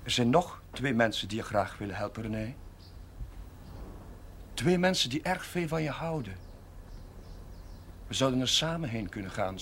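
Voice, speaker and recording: An actor playing an earnest, patient and compassionate village chaplain in a Dutch television period drama. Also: male voice, mid-range voice, fairly bright tone, lightly acted. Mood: pleading. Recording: faint background noise, old TV soundtrack.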